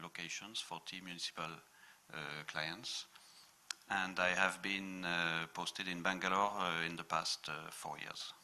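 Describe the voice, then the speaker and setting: This is a man introducing himself on a panel. His voice is deep but bright in tone. He speaks haltingly, pausing on "uh" between nearly every phrase. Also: gravelly, slight background noise. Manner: halting, heavy fillers. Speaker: water company manager on a panel.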